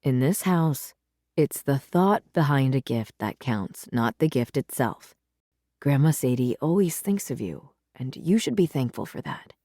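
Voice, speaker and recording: Woman doing a low, gently firm storybook dad. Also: animated, unhurried, dry recording, studio quality, heavily acted. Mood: disappointed, firm. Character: calm, gently firm father of a young girl. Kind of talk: children's storybook read-aloud.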